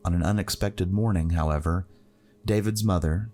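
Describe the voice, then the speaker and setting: A man's voice: low voice, moderate pace, darkish tone. A man reading scripted fantasy fiction as the narrator of a story. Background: music.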